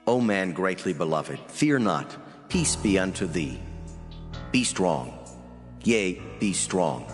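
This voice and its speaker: Solemn angelic messenger played by a man, low voice, measured prophetic declamation, clear music behind. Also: sing-song, exaggerated voice. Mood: tender, strengthening. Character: solemn heavenly male messenger delivering prophecy. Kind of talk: dramatized scripture reading. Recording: long hall reverb under clear music.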